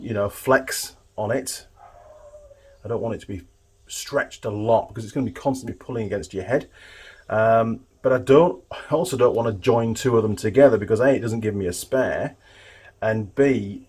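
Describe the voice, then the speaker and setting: A man with a fairly low, husky voice hosts a hands-on workshop vlog. He chats informally and thinks aloud as he works, speaking to the viewer like a mechanic at the bench. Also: a little mellow. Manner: chatty, informal, talking to the viewer while working. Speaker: motorbike mechanic and video host.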